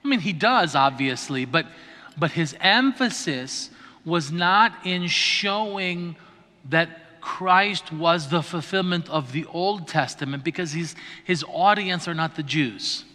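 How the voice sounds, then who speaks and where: A man with a bright, ringing, slightly high voice teaches an adult class in a large hall. His talk is relaxed and patient, and he keeps checking in with "right?" and "okay?". Long reverb from the hall trails after each phrase. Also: slow. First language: not Greek. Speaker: priest teaching adult catechism. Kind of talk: conversational lecture to a class. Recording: reverberant hall on a livestream mic.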